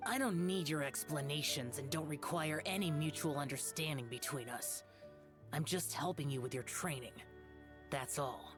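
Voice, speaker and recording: Woman playing a stern young male immortal, low voice, curt, cold, solemn, anime game, soft music. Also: moderate pace, close to an everyday voice. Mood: curt, disdainful. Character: aloof, stern young male immortal warrior, strict teacher. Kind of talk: anime-style video game voice acting. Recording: faint music behind the voice.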